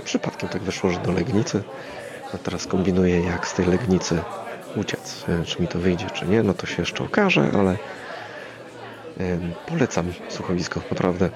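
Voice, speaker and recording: A man's voice: low voice, moderate pace, a crowd in the background. A man hosting a casual solo podcast, rambling hesitantly as he thinks aloud. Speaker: podcast host.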